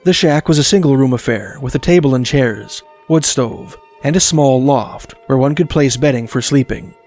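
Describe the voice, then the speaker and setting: A man delivers scripted documentary narration of eerie tales in a measured, articulate way. His mid-pitched voice carries a noticeable gravelly creak, and music plays softly behind him. The phrasing is formal, like a storyteller reading from a script.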